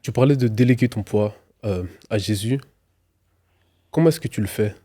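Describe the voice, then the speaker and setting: A man hosting an interview, introducing his guest and asking questions in a calm style. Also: fairly low pitch, moderate pace, no echo, clean studio sound. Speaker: host, interviewer.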